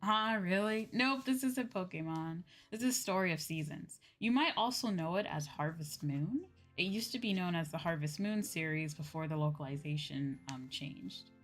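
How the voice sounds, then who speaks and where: A woman with a fairly low voice streams a game and chats with her viewers. Her talk is informal, slangy and loosely rambling. Light game music plays behind her. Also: moderate pace, few pauses. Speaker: livestreamer, VTuber host. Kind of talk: casual chat while playing a game, answering viewers.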